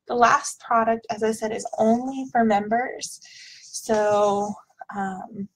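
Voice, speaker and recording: Slightly hoarse woman chatting at a webcam. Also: medium pitch, a little hiss or hum, low-quality recording. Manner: chatty, rambling, with frequent ums. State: hoarse from illness. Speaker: product vlogger. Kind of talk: casual product unboxing chat. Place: small home office, indoors. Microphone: webcam at desk.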